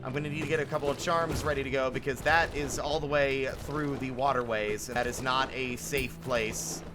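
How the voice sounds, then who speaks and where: A man's voice: mid-range voice, steady tone, normal speed. A man chatting casually and expressively to viewers while live-streaming a game, with some swearing. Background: game music.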